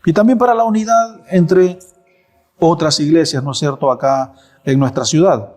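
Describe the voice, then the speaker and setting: A man's voice: fairly high pitch, normal speed, darkish tone. A man in his thirties preaching a sermon in a church, asking rhetorical questions and answering them emphatically. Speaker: pastor.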